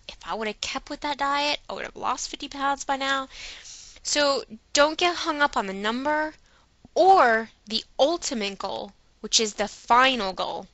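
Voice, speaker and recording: Female vlogger, bright and slightly high voice, dry sound, encouraging and emphatic delivery. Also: dry recording. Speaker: vlogger giving weight-loss advice. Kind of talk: casual, personal advice talk to camera.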